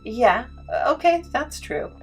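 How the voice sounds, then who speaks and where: A woman's voice: sits high, animated, takes it slowly, breathy edge, faint music behind, pitched above the performer's own voice, exaggerated voice, low-quality recording. A woman playing a bumbling, servile, hesitant underling in a scripted comedy podcast skit. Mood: meek, cheeky. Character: bumbling, deferential underling of a dark master.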